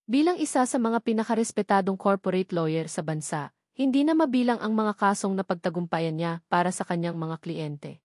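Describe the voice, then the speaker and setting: A woman's voice: medium pitch, a little mellow, dry recording, clean studio sound. A woman reading a scripted dramatic story as its narrator.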